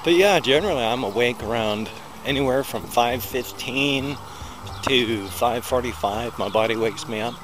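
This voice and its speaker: Rambling American man walking by a loud road. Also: mid-range voice. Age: middle-aged. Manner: chatty, rambling, self-deprecating narration while walking. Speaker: vlogger. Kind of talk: casual walking vlog. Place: outdoors beside a busy road in a small town. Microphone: small handheld recorder held close to the mouth. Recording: outdoor traffic noise. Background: loud passing traffic.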